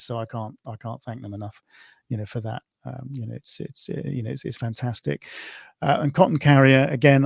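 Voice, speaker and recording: A man with a British accent hosting an informal online presentation as a competition organiser, speaking in a conversational, halting way. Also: medium pitch, darkish tone, no room sound, studio quality. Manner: conversational, halting, often repeats "you know".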